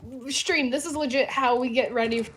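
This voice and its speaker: Female streamer, fairly high voice, casual gaming group chat. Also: steady tone, a little fast, a bit bright, a little hiss or hum, poor audio.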